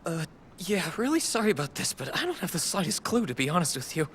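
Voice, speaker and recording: A man's voice: high voice, sing-song, quick pace, fairly bright tone, a little hiss or hum, full character voice. A man playing a wisecracking teenage boy in an anime dub, casual and dramatic, given to muttered asides. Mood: awkward, casual. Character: excitable, wisecracking teenage boy lost in a fantasy world. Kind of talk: English anime dub acting. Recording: music behind the voice.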